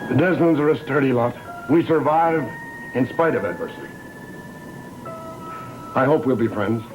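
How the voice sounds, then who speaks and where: Courtly elderly gentleman with swooping, expressive delivery acted by a man. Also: medium pitch, natural voice. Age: older. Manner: formal, measured, courteous. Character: elderly well-travelled gentleman, courtly and self-assured, mysterious. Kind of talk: gothic TV soap opera acting. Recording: muffled old TV soundtrack with music.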